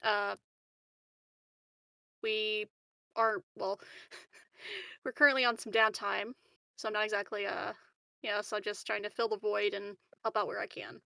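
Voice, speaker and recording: A woman's voice: medium pitch, flat delivery, measured pace, creaky, pauses often, bright, forceful tone, dry recording. A woman playing a tabletop roleplaying game, chatting casually in character in a giggly, hesitant, rambling way. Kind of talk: casual in-character improvised chat.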